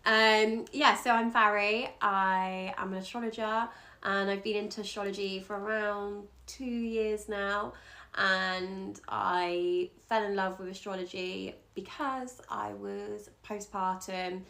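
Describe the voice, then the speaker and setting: A woman astrologer, a podcast guest, talking candidly and casually about her work in a relaxed, soft-spoken way. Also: mid-range voice, slow pace, gravelly. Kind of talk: casual interview talk.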